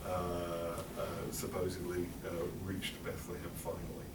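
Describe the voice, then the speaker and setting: Wry English man, lower voice, roomy hall. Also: completely flat delivery, a little slow, very noisy. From England. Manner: chatty, wry, many fillers and laughs. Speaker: presenter. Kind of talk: illustrated library talk. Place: public library meeting room. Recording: roomy hall, speaker far from mic.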